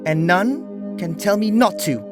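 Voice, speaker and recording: A man's voice: high voice, lively intonation, unhurried, over music, pitched above the performer's own voice. A man voicing a haughty female pony princess with imperious, huffy, formal royal diction in a storybook reading. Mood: huffy, defiant.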